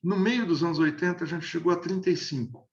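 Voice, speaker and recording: Older sao guy explaining stuff, keeps restarting words, kinda highish voice, muffled audio. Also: brisk, reverberant. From interior of São Paulo state, Brazil. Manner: hesitant, many repeated word restarts. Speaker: interview guest. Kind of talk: explanatory conversational analysis. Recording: dull lo-fi audio.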